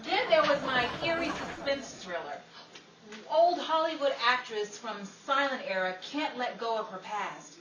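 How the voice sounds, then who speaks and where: A woman playing an excitable, aggrieved 1950s Hollywood actress, theatrical and emphatic, in the style of an old-time radio comedy. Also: on the high side, measured pace, reverberant, far from the mic, dull audio, noisy background. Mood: dramatic pitching.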